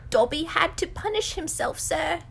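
A woman voicing a small male house elf in a storybook reading, squeaky and high-pitched, earnest, pleading and servile. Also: expressive, normal speed, vocal fry, a bit bright, exaggerated voice. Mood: anxious, deferential. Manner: earnest, pleading, speaks of self in third person, deferential.

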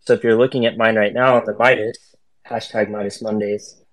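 A man's voice: on the low side, level tone, brisk, low-quality recording. A man speaking as a guest on an online voice chat, explaining and telling stories in a casual, slangy way. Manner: slangy, informal. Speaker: guest artist.

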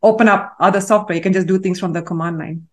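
A woman's voice: lowish voice, fairly even intonation. Thoughtful woman answering interview questions. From Malaysia. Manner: thoughtful, measured, with frequent fillers and self-corrections. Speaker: guest, AI consultant.

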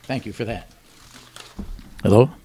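A middle-aged man co-hosting a talk show, reading news items with wry deadpan punchlines and chuckling at his own jokes. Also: lowish voice, unhurried, a little hiss or hum. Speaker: radio show co-host. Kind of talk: joking news rundown.

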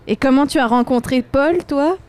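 Woman, higher voice, interview host, eager reactions. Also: darkish tone. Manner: enthusiastic, quick reactions. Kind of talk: interview hosting.

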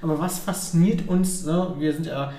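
A man's voice: a little high, steady tone, moderate pace, mellow. A man originally from Ukraine chatting casually, hesitant and full of tag questions. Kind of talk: casual chat. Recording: echoey room.

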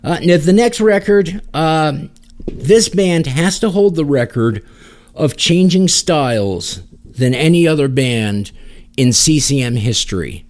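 A man's voice: on the high side, expressive, slow pace, a little mellow. A man hosting a podcast, talking casually about music. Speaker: podcast host.

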